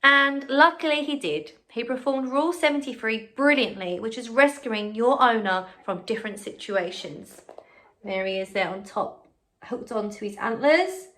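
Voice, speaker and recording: A woman's voice: fairly high pitch, normal speed, a little hiss or hum. A woman reading a picture book aloud as a primary teacher, expressively, with chatty asides and questions to the children. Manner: expressive, encouraging, asks the listener questions. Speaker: primary school teacher reading a story to pupils.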